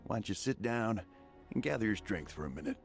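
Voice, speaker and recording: A man's voice: on the low side, lively intonation, brisk, creaky, faint music behind. A man playing an easygoing, caring young fighter in a video game, speaking in a casual, plain way. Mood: reassuring.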